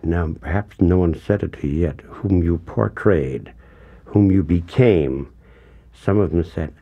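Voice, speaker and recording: An older man with a lowish voice hosts a conversation. He tells his stories with animated emphasis, breaking off and restarting his sentences as he goes. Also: measured pace, lo-fi sound. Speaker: radio interviewer. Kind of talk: casual interview conversation.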